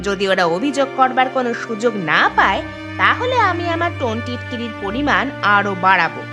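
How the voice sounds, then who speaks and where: A woman's voice: on the high side, expressive, a little fast, over music, close to an everyday voice. A woman voicing a spiteful, taunting village housewife in a cartoon, with mocking, sarcastic jibes. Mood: scheming. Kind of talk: cartoon voice acting.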